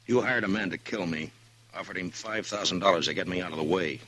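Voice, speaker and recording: An actor playing a calm, tough frontier marshal in a 1950s radio drama, dry and clipped. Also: male voice, low voice, fast talker. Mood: steady. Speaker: town marshal. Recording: old radio broadcast.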